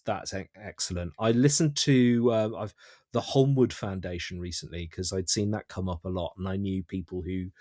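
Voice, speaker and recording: Low-voiced British man, halting and thoughtful. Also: darkish tone, no echo, clean studio sound. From United Kingdom. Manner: hesitant, halting, repeating words as he thinks. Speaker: writer-director guest. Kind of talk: conversational interview answers.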